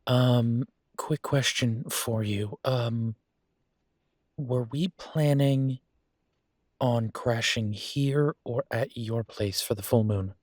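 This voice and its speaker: A man's voice: medium pitch, slow pace, darkish tone, dry recording, clean studio sound. A man playing an affectionate young werewolf boyfriend in an intimate audio roleplay, talking in a casual, hesitant, rambling way. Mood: tentative, affectionate.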